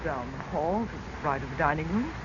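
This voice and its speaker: A woman's voice: sits low, expressive, a little fast, noisy background. An actress playing an anxious middle-aged mother of two teenagers in 1940s radio drama style, on a dull recording. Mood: uneasy, yielding.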